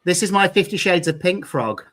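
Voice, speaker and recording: A man's voice: sits high, animated, normal speed, a bit bright. Chatty man on a live stream. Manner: chatty, self-deprecating, laughs easily. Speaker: host of a resin crafting live stream. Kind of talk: casual live-stream chat.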